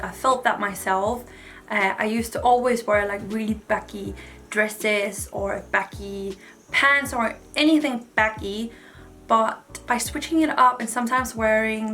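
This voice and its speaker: A woman's voice: mid-range voice, pushed and bright. A woman, giving frank, chatty advice to camera with lots of "like" fillers. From Denmark. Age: 20s. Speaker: vlogger. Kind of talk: casual advice talk to camera.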